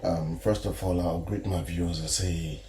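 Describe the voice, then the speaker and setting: A man's voice: sits low, fairly even intonation, moderate pace, background noise. A man from Zimbabwe with a Zimbabwean accent, a musician guest answering easygoing, often restarting his sentences. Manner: easygoing, self-correcting, proud. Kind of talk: casual interview answers.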